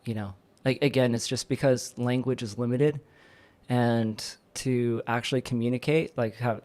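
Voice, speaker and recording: A man's voice: medium pitch, steady tone, moderate pace, darkish tone. A man talking as a podcast guest, earnest and searching for the right words. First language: English. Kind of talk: casual chat.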